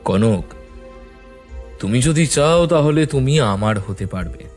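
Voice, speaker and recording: An actor playing a proud, besotted young landlord's heir in an audio drama, earnest and a little drunk. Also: male voice, mid-range voice, lively intonation, slow, darkish tone, faint music behind. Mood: ardent, tipsy. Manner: slurred, earnest, grandly declaring. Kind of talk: dramatised horror audio story.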